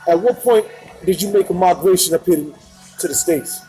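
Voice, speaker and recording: Man interviewer, fairly high voice. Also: moderate pace, mellow, faint music behind, rough recording. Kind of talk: magazine interview with scripted intro.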